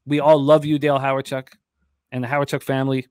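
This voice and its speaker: A man's voice: medium pitch, level tone, fast talker, darkish tone, clear, resonant tone, no room sound. A middle-aged man hosting a sports betting show in punchy, enthusiastic radio talk, close on a desk microphone. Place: indoor home studio. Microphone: large desk microphone close to mouth.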